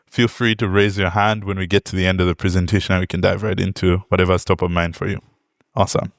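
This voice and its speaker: A man's voice: deep voice, flat delivery, fast talker, dry recording, clean studio sound. A young man from Zambia giving a technical conference talk, friendly, with many ums and uhs and light jokes. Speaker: conference speaker, product manager. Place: conference hall.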